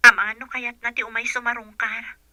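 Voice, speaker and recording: A woman's voice: a little high, level tone, a little fast, pushed and bright, no echo, clean studio sound. A woman acting a young woman phoning a friend for help in a radio drama, with an Ilocano Filipino accent. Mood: pleading, grateful.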